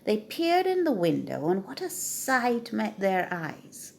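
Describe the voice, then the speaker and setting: A woman storyteller reads for children with dramatic emphasis. Her medium-pitched voice moves slowly and swings widely in melody. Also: wildly swooping pitch, creaky, slight background noise. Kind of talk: children's story read aloud.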